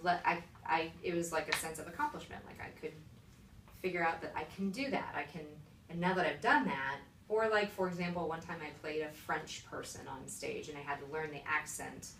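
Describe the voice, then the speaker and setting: A woman's voice: sits low, brisk, fairly bright tone. A woman who acts and dances answers interview questions casually, reflective and plain-spoken as she explains to a child. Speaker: interviewee, stage actress and dancer. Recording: echoey room, distant mic, background noise.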